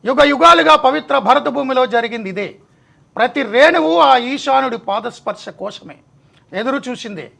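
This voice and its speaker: A man's voice: very high-pitched, lively intonation, moderate pace, creaky, pushed and bright. A male TV host delivering a dramatic scripted monologue in short, weighty rhetorical phrases. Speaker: TV show host.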